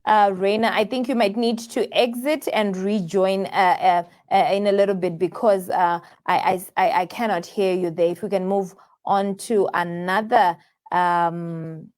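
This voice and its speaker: A woman's voice: medium pitch, steady tone, measured pace, darkish tone. A woman hosting a live call-in show, talking with many fillers and restarts as she takes viewers' calls. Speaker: host taking viewer calls.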